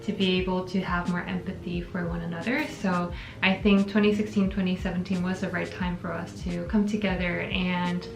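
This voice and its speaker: A woman's voice: fairly low pitch, little pitch movement, a little fast, gravelly, hardly any pauses. A young woman from Korea hosting a casual relationship Q&A, answering thoughtfully and reading viewer questions aloud. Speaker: channel host. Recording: echoey room with background music.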